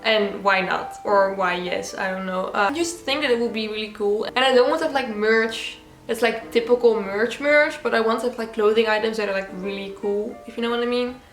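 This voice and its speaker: A woman's voice: fairly high pitch, fairly quick, hardly any pauses, roomy sound, soft background music. A young woman from the Netherlands with a Dutch accent, chatting casually and giggling as she answers viewer questions. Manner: chatty, giggly, many "like" fillers. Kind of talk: casual Q&A vlog.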